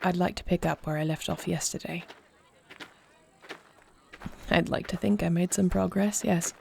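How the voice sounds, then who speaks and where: A woman playing an earnest young princess and war leader in an audio roleplay, speaking formally in solemn, measured phrases. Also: fairly low pitch, fairly even intonation, gravelly, a little mellow, slight background noise. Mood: composed, commanding. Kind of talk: scripted audio roleplay acting.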